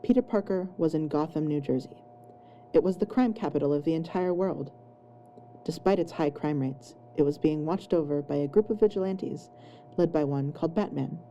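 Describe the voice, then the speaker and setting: A woman with a low, very dark and mellow voice reads scripted story narration. There is faint noise in the home recording. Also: moderate pace, dull audio. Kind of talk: scripted fan fiction narration.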